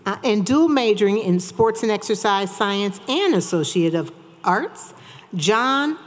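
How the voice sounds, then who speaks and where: A woman's voice: mid-range voice, lively intonation, measured pace. A woman formally and emphatically reading out graduates' names as a commencement announcer. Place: large auditorium stage at a graduation. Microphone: podium microphone. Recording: crowd noise of a large ceremony. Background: crowd and ambience.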